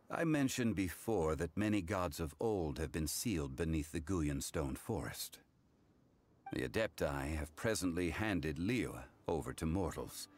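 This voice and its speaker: Extremely low-pitched ancient immortal man, slow delivery, solemn, wise, formal phrasing, video game dialogue, faint music behind. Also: heavily acted. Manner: formal, measured, reflective archaic speech. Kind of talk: English game voice acting, story dialogue.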